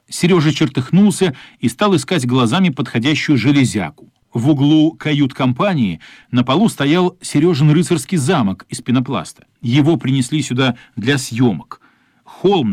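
A man's voice: medium pitch, a little mellow, husky, no room sound. A man narrating a radio play as a scripted story.

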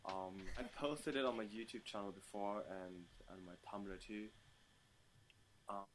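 A young man from Germany answering interview questions casually, with many pauses and ums and playful outbursts. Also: fairly low pitch, a little hiss or hum. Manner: hesitant, many ums, bursts into playful loud laughter. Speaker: interview guest.

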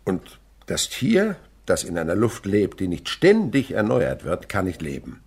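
Husky, animated learned teacher. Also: male voice, low voice, unhurried, heavily acted. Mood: instructive, enthusiastic. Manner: didactic, dramatic swings between murmur and declamation. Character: Henri, learned male village teacher and naturalist, patient, enthusiastic. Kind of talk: German radio play acting.

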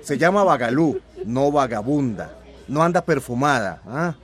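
A man's voice: medium pitch, animated, moderate pace, natural voice. An actor playing a stubborn, dignified village man in a radio drama, with a Central American accent and an emphatic, formal manner. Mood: offended.

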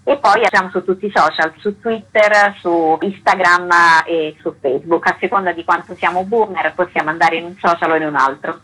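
Female artistic director as radio guest, conversational, with a rough-edged, lower voice. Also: moderate pace, hardly any pauses, fairly bright tone, rough recording.

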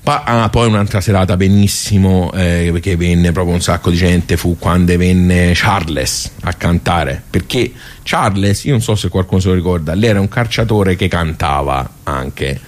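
An actor playing a chatty, nostalgic village social club regular with a Tuscan accent, telling stories in a rambling, folksy radio theatre monologue. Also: male voice, deep voice, moderate pace, hardly any pauses, lightly acted. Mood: nostalgic, wry.

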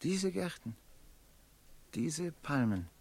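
Proud merchant: husky, very wide-ranging pitch, poetic, brooding, commanding, radio drama. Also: male voice, big pitch swings, drawn-out words, exaggerated voice. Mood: brooding, uneasy. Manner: impassioned, poetic, swinging from hushed to commanding. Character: proud, love-struck merchant, brooding and imperious. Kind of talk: German radio play acting.

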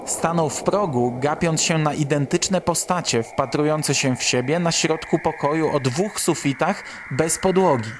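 A man's voice: a little high, unhurried, few pauses, background noise. A man reading a scripted horror story as the narrator of a radio drama. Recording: voice under loud music. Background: loud music.

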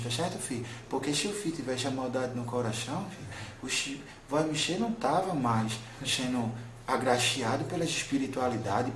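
A man's voice: mid-range voice, reverberant, slight background noise, close to an everyday voice. A man speaking as a wise, tender old grandmother spirit in a spiritual counselling session, soothing and calling the listener son again and again. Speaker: spirit medium.

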